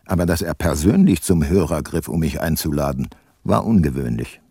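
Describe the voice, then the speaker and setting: An actor playing a world-weary private detective who narrates his case soberly in a crime radio drama, with a husky voice. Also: very deep voice, big pitch swings, moderate pace, dark tone, no echo, studio quality, exaggerated voice. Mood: sober, reflective.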